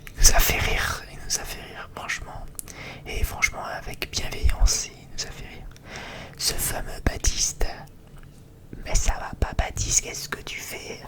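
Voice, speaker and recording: An ASMR creator chatting in a rambling, hesitant way, often repeating phrases. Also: a little slow. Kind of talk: whispered ASMR chit-chat.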